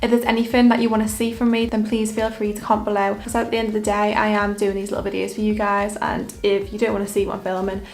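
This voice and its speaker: A young woman makeup artist talking through a casual makeup tutorial in a hoarse, croaky voice, her delivery relaxed and monotone with plenty of fillers. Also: medium pitch, quick pace, hardly any pauses. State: croaky, hoarse voice after illness. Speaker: makeup artist and beauty vlogger.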